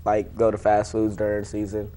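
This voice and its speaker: A man's voice: lowish voice, completely flat delivery, moderate pace, mellow. A young man giving casual interview answers as an athlete, plain-spoken and informal. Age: young adult. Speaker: wrestler being interviewed.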